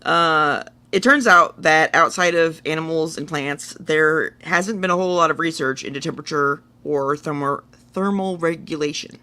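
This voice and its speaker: A man's voice: on the high side, normal speed, hardly any pauses, sharp tone, clean tone. A man co-hosting a podcast, relaying things in an informal way and thinking aloud as he goes. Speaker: podcast co-host. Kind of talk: casual chat, relaying a science story.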